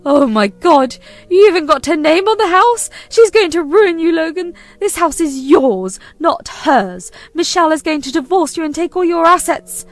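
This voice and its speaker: A whiny, manipulative mother-in-law who accuses loudly and plays the victim, put on by a woman reading a story. Her voice is pushed well above the reader's own, strained and loud. Her complaints come out melodramatic and shrill. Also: expressive, moderate pace, no room sound, soft background music. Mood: shrill accusing.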